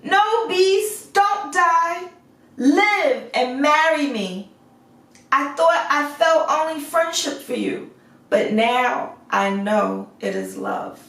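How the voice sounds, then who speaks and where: A woman's voice: sits high, slow pace, a bit bright, pitched above the performer's own voice, exaggerated voice. A woman voicing a devoted young storybook heroine, imploring and full of tender emotion. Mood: desperate, loving. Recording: echoey room, distant mic.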